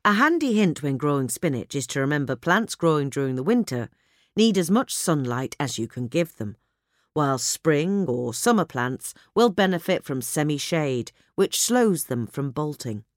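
Lively woman narrator, close and dry. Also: sits low, normal speed, dry recording. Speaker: article reader. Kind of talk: scripted gardening narration.

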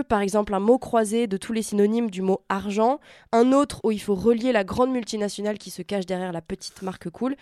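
A woman's voice: on the high side, a little mellow, no room sound, clean studio sound. A woman presenting a semi-scripted radio column in a conversational, wry and lightly amused way.

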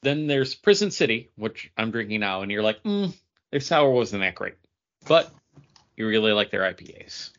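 A man's voice: on the low side, big pitch swings, moderate pace, a bit bright. A man co-hosting a podcast, chatting casually in short, choppy phrases. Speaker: podcast co-host. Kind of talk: casual chat. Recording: dull lo-fi audio.

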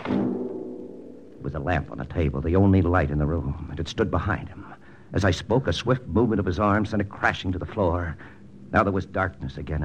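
A hard-boiled spy lieutenant, deep-voiced. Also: male voice, highs cut off. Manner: terse first-person narration. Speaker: narrator and lead actor. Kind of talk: 1940s radio drama acting. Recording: old radio recording with hiss.

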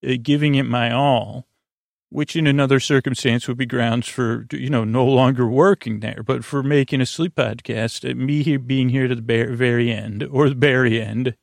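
A man from the United States with a gravelly, creaky voice, hosting a bedtime podcast in a halting, meandering, tangent-prone monologue. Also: medium pitch, fairly even intonation, no room sound, studio quality. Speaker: podcast host.

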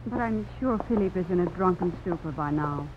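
An actress playing a selfish, scornful belle with a theatrical, imperious 1950s radio drama delivery. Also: female voice, on the low side, animated, brisk, close to an everyday voice. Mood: urgent. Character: selfish, scornful Southern belle, unfaithful gambler's wife. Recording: muffled old radio broadcast, faint hiss.

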